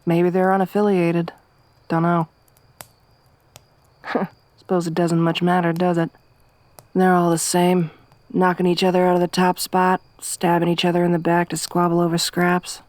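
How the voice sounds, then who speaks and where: A woman voicing a tough, wry young survivor woman in a soft-spoken ASMR roleplay, with a dry, teasing, gruff manner. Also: on the low side, monotone, moderate pace, pauses often, no room sound, lightly acted. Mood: low-key, wry.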